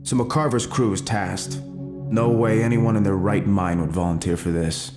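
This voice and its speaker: An actor playing a terse, weary soldier who answers questions defensively in a game cutscene. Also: male voice, on the low side, steady tone, measured pace, music in the background, natural voice. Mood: matter-of-fact. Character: guarded, weary male soldier under interrogation. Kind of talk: dramatic game cutscene acting.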